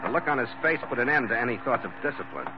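An actor as a terse old-radio sea captain. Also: male voice, medium pitch, expressive, rapid-fire, noisy background, natural voice. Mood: wry, measured. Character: seasoned male ship's master, terse and firm, narrating his log. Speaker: lead actor and narrator. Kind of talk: 1940s radio adventure drama narration. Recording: muffled old radio broadcast with music.

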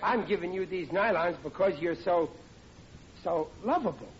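A man's voice: expressive, normal speed. An actor playing a bumbling, good-natured working-class man in 1940s radio comedy, hesitant and folksy in his talk. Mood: sheepish wheedling. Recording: dull, noisy old radio recording with rumble.

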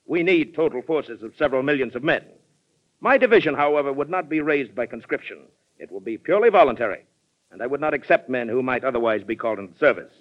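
A man acts out a jovial, war-hungry colonel and former statesman in a vintage radio play. He speaks emphatically, like an orator at middle pitch, through a dull, muffled broadcast recording. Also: expressive, fast, lightly acted. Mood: oratorical. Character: hearty, bellicose ex-president colonel, eager for war. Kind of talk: old-time radio drama acting.

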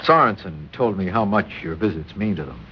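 A man's voice: deep voice, lively intonation, fairly quick. An actor playing a loyal, respectful and practical merchant seaman in an old-time radio drama. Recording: dull, very noisy vintage radio recording.

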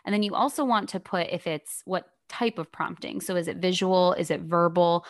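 A woman co-hosting a professional podcast, explaining practical tips in casual chat while her voice keeps going in and out and she clears her throat. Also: on the low side, moderate pace, no echo, studio quality. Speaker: podcast co-host, speech-language pathologist.

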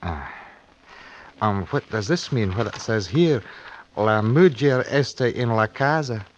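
A man's voice: fairly low pitch, expressive, measured pace. An actor in an old radio drama playing a bashful, earnest young Scotsman, hesitant and awkward, with a Scottish accent. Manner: hesitant, awkward, throat-clearing. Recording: muffled old radio recording with hiss.

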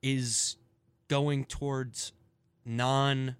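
A man's voice: medium pitch, level tone, extremely slow, smooth, no room sound. A man on a sports talk panel, arguing his point in casual, unscripted debate.

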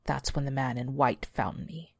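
Woman as a demonic giant hound, slow, guttural, low, menacing but loyal, horror storybook, very dry recording. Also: steady tone, vocal fry, a little mellow, dry recording, heavily acted. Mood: sorrowful. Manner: slow, deliberate menacing delivery. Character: massive demonic male devil dog, sneering, menacing yet loyal.

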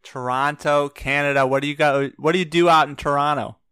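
A man's voice: fairly high pitch, steady tone, brisk, sharp tone, clean tone, no room sound, clean studio sound. A man hosting a casual trivia podcast, upbeat and joking as he questions callers. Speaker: podcast host.

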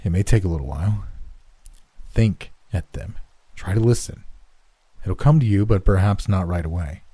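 A man voicing a polite, measured astronomer who asks careful questions. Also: sits low, expressive, mellow, husky, no echo. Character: calm, measured male astronomer.

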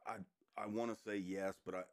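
A man's voice: fairly low pitch, flat delivery, a little fast, no echo, studio quality. A middle-aged man, a recovery coach chatting earnestly as a podcast guest. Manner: earnest, joking, talks in restarts. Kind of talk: casual podcast chat. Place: podcast room.

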